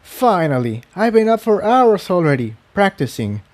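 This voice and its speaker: A man voicing an excitable, teasing young female unicorn adventurer in a fantasy story reading, eager and playful. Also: sits high, normal speed, voice pushed up. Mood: excited.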